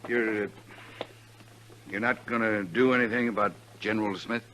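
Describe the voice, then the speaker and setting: An actor playing a self-important, short-tempered western banker in 1950s radio drama, brusque in manner. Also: male voice, on the low side, steady tone, moderate pace, poor audio. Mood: uneasy, civil. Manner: brusque, forceful. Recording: hissy old 1950s radio recording.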